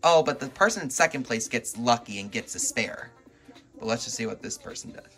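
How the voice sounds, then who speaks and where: A man with a bright, forceful voice gives casual commentary over a video game. He is excitable and reacts aloud as he plays. He is recorded at home, with faint game music behind him. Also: mid-range voice, fairly quick. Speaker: gaming video host. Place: indoors at home, filming a TV.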